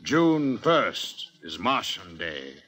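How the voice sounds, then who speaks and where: An actor playing a mysterious, formal client with precise, deliberate speech in a 1950s radio drama. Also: male voice, fairly low pitch, big pitch swings, fairly bright tone, breathy edge, faint music behind, exaggerated voice. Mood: formal, commanding. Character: mysterious, measured client secretly promoting a Martian invasion.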